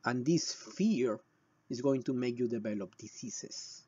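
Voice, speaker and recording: A man from Peru with a Peruvian Spanish accent and a husky voice, explaining and telling stories to viewers in short, emphatic phrases with deliberate pauses. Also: mid-range voice, lively intonation, darkish tone, no room sound, dull audio. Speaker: shamanic healer presenting a video. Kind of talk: explanatory talk with personal storytelling.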